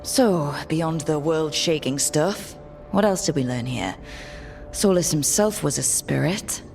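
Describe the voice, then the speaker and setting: A woman's voice: sits low, expressive, a little slow, vocal fry, a little mellow, soft background music, heavily acted. A woman voicing a compassionate, level-headed leader of a fantasy band in a video game cutscene. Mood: measured, practical.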